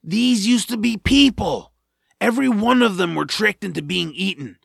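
Blunt grumbling creature, very gravelly, high-pitched, animated, audio drama. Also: male voice, moderate pace, dry recording, clean studio sound, full character voice. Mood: stern, taunting. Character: grumpy nap-loving male swamp creature, gruff reluctant rescuer.

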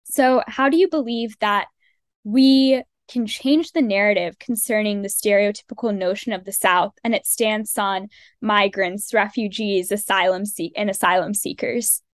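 A young woman hosts a student podcast, asking her questions in an earnest, measured way. Her voice sits in the middle range for a woman. The sound is dry and close, with no room around it. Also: medium pitch, fairly even intonation, dry recording. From American South, immigrant. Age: young adult. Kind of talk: podcast interview.

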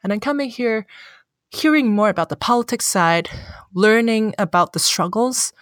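A young woman from Hong Kong, a therapist with a Cantonese accent, speaking English as a second language in a thoughtful, halting way, with many fillers. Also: medium pitch, animated, no echo, studio quality. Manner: thoughtful, searching for words, frequent fillers. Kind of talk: casual conversation.

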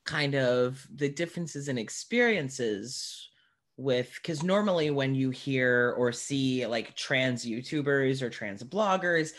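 A man hosting a podcast interview in a casual, informal way, with halting phrasing and many asides. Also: mid-range voice, expressive, fairly bright tone.

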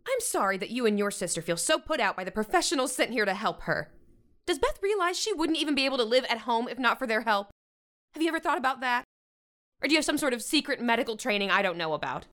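A woman's voice: on the high side, lively intonation, quick pace, a bit bright. A middle-aged American woman playing a stressed, stern but loving mother in a modern audio drama. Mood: scolding.

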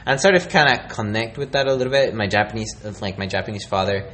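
Low-voiced man, rambling casual interviewer. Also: fast, pushed and bright, a little hiss or hum. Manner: rambling, often trailing off mid-thought.

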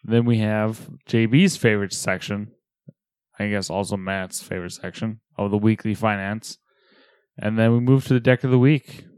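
A man co-hosting a podcast, teasing, his voice slightly raspy and cracking. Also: lowish voice, darkish tone, dry recording. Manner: teasing, wisecracking. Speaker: podcast co-host. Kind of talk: casual podcast banter.

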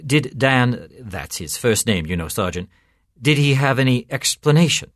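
Man playing a genial priest, very animated pitch. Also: normal speed, dry recording, clean studio sound. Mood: dismayed. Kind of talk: storytelling character voice.

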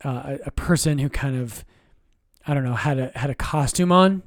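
Relaxed priest, dry mic. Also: male voice, medium pitch, normal speed, dry recording. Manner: relaxed, explains doctrine with jokes and self-corrections. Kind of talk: casual podcast chat.

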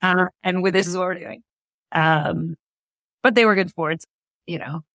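Lowish-voiced woman, emphatic podcast guest. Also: measured pace, fairly bright tone, dry recording, clean studio sound. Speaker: podcast guest, audio drama creator. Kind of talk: casual anecdotal interview talk.

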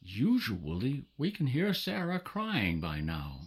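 An actor playing an excitable, devout father in a religious audio drama. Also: mid-range voice, slow pace, breathy edge, full character voice. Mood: hushed, anxious.

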